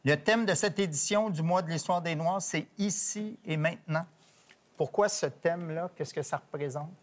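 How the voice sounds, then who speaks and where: A man hosting a television talk show, introducing guests in a measured, formal way. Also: on the high side.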